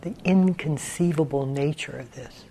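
A man teaching a guided meditation, speaking deliberately in short phrases with long pauses, often letting endings drop to a hush and repeating key words. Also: on the high side, gravelly.

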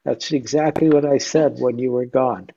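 A man's voice: medium pitch, steady tone, normal speed, creaky, warm tone, muffled recording, a little hiss or hum. A man leading an informal group discussion as a meditation teacher, conversational and questioning, often checking in with listeners. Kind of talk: informal question-and-answer dharma talk.

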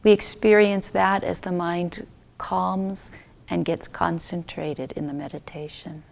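A woman leading a guided meditation as a teacher, speaking gently in short unhurried phrases that trail off softly into near-whisper. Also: fairly low pitch, fairly even intonation, gravelly.

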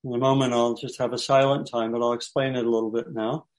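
A man teaching meditation, giving light guided instructions in short phrases with pauses between them. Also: medium pitch, flat delivery.

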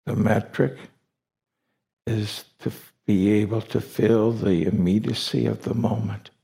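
A man leading a guided meditation as a teacher, speaking deliberately in short phrases with long pauses between them. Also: on the low side, fairly even intonation, gravelly, mellow.

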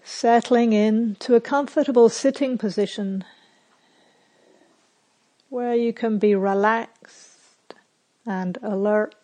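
Woman leading a guided meditation, real slow and gentle, mellow warm voice. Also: a little high, steady tone, low-quality recording. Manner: slow, soft, unhurried with long pauses. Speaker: meditation teacher. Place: retreat center.

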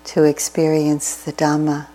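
A woman leads a guided meditation in a low, very even voice. She speaks very slowly and deliberately, leaving space between short, soothing phrases as she gives gentle instruction. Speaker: meditation teacher. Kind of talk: guided meditation, slow and deliberate with long pauses.

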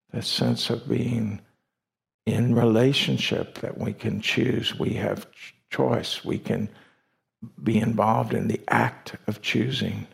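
A man with a low voice and a heavy creak guides a meditation. He speaks in short, deliberate phrases and leaves long pauses between them. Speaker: meditation teacher. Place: meditation retreat hall.

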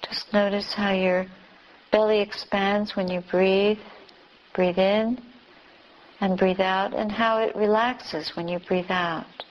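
A woman teacher leading a beginner's guided meditation, giving calm, gentle instructions with long pauses. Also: mid-range voice, dull audio. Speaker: Buddhist nun teaching meditation.

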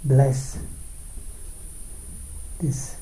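A man teaching guided meditation, speaking in short, unhurried phrases with pauses between them. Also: mellow. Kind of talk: guided meditation, dharma talk. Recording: roomy, echoing space.

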